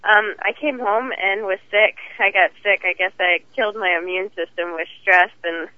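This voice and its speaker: Hoarse woman on a tinny phone line. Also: mid-range voice, fairly even intonation, normal speed, no echo. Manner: chatty, rambling, laughs easily. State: hoarse from a cold. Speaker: guest champion driver. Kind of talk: phone interview.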